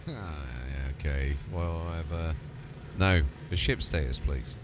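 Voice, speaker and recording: A man's voice: low voice, takes it slowly, noisy background. A man in casual role-play chat as a game streamer playing a ship commander.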